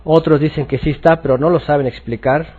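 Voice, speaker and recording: A man teaching scripture informally, explaining haltingly and often repeating himself. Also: on the high side, steady tone, husky, narrow, tinny audio. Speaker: religious teacher.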